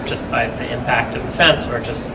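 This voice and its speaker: A man on a wildlife panel answering an audience question in a measured way, with many fillers. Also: mid-range voice, fairly even intonation. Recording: echoey hall, distant mic, loud noise.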